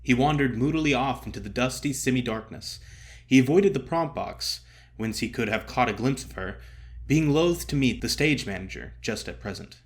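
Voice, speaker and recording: Animated male storyteller with varied pitch. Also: normal speed. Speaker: narrator, actor. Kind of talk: dramatic comic story narration.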